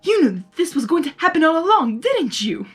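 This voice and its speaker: A woman's voice: high-pitched, big pitch swings, brisk, faint music behind, voice pushed up. A woman voicing a male chaos creature, a teasing groom given to indignant, theatrical exclamations in a storybook reading. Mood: accusing, indignant.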